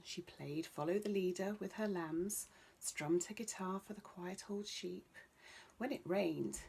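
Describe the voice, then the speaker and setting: A woman's voice: fairly low pitch, darkish tone, slight background noise. A woman narrating a children's bedtime story as a storyteller.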